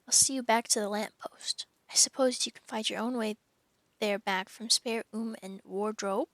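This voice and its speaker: Timid male faun, voiced by a woman, fretful, earnest, guilt-ridden, storybook reading, creaky, close dry sound. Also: on the high side, fairly even intonation, moderate pace, fairly bright tone, no room sound. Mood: resigned, earnest.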